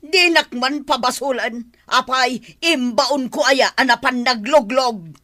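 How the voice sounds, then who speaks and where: Sharp, quarrelsome older Filipino woman, acted. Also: high voice, unhurried, dry recording, studio quality. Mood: indignant. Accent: Filipino, Ilocano. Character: callous, quarrelsome older wife, defensive and unfeeling. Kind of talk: radio drama acting.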